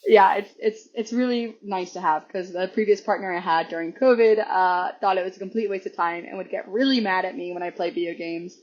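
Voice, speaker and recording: A hoarse woman guesting on a podcast, chatting casually and rambling with restarts and laughs. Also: medium pitch, brisk, few pauses, darkish tone. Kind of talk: casual chat. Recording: dull lo-fi recording.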